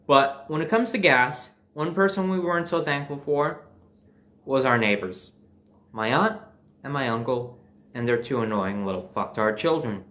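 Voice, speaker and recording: A young man telling personal stories in a casual, animated way, swearing freely and acting out bits of dialogue. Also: mid-range voice, moderate pace. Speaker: storyteller.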